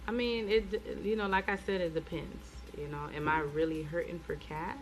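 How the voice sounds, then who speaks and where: A woman co-hosting a relationship podcast in casual chat, answering in a measured, thoughtful way with hedges. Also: on the low side, fairly even intonation, low-quality recording. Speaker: podcast co-host.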